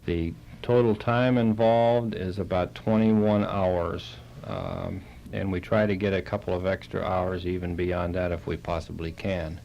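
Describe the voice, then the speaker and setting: A man, a halting and plain-spoken interview guest, explaining things on the radio. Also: deep voice, level tone, vocal fry, a little mellow, lo-fi sound, slight background noise. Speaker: interview guest, Red Cross chapter director.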